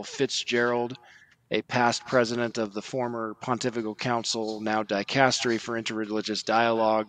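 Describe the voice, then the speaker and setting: A man giving earnest podcast commentary in a measured, explanatory way with formal wording. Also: mid-range voice, a bit bright, no echo, poor audio.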